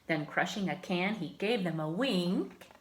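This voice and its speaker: A woman reading a children's picture book aloud like a teacher, with expressive, emphatic phrasing. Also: on the low side, measured pace. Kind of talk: children's story read-aloud.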